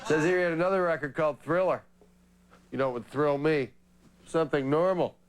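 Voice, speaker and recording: An actor playing a smug middle-aged suburban dad who grumbles in corny jokes. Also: male voice, on the high side, fairly quick, smooth, lightly acted. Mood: smug mocking. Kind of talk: pre-taped comedy sketch.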